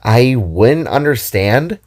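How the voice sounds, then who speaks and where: A man voicing a goofy, excitable, chatty young girl adventurer with playful, rambling speech in an audio drama. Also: mid-range voice, takes it slowly. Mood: curious, sarcastic. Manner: playful, sarcastic, rambling with false starts.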